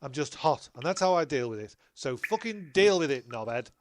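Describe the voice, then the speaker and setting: Man playing an animated, indignant, sweary actor. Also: on the high side, normal speed, natural voice. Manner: blunt, exasperated, repetitive. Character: indignant, sweary, excuse-making actor in a bickering troupe. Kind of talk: comic audio drama.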